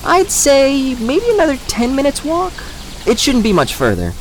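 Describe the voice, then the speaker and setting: A man's voice: very high voice, huge pitch swings, normal speed, a little hiss or hum, heavily acted. A man playing an easygoing but watchful young trail guide in an audio drama. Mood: reassuring.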